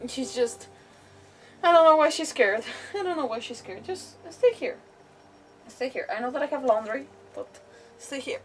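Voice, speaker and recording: A high-voiced woman livestreaming a game in casual, playful chatter. Her pitch moves a lot, and she sounds away from the mic with faint music behind. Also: animated, normal speed, far from the mic, a little hiss or hum.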